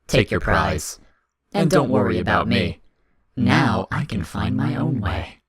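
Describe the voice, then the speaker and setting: A man voicing a cold, mocking, demanding child of darkness in an audio story, speaking in formal, taunting, deliberate phrases. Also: deep voice, very animated, crowd noise. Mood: cold, resigned. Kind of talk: dramatic audio fiction character reading.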